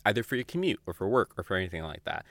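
A man hosting a podcast solo in casual, rambling talk, halting and self-conscious, prone to giggling. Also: low voice, sing-song, fairly bright tone, no room sound. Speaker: podcast host.

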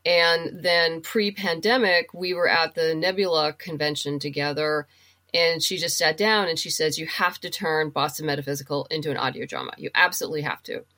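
A woman's voice: low-pitched, fairly even intonation, moderate pace, pushed and bright. A middle-aged woman chatting and explaining things casually as a guest in an interview. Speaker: podcast guest, writer and producer.

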